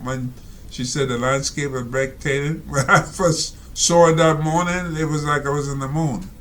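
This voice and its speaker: A man reading out and commenting on a reader's letter, talking slowly and rambling with many fillers and asides. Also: on the high side, steady tone, fairly bright tone. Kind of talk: casual spoken commentary.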